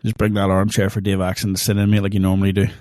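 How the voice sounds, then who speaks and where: A man's voice: sits low, completely flat delivery, rapid-fire, darkish tone, no echo, studio quality. A man with a thick accent co-hosting a casual podcast, chatty and teasing, laughing often. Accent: thick non-Australian accent. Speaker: podcast co-host.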